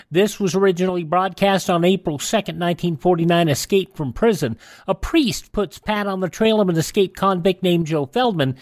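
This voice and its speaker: A man's voice: high voice, no room sound, studio quality. A man hosting a radio show, reading scripted news and programme introductions. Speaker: radio host.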